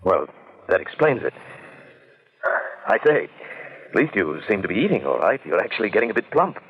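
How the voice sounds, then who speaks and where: Casting: courteous, concerned businessman-narrator for an old radio chiller, low voice, very expressive pitch. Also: moderate pace, muffled recording. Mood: polite, hearty. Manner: courteous, earnest, wondering. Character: concerned English businessman narrating an eerie African adventure. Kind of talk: 1940s-50s radio drama acting and narration. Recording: band-limited old-time radio recording.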